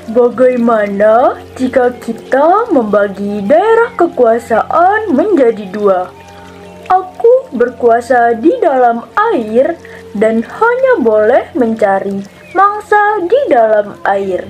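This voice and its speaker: Woman voicing a high-pitched, sly storybook shark. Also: very animated, slow, a little mellow, soft background music. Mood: proposing. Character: quarrelsome, sly shark claiming rule over water. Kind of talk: children's fable storytelling.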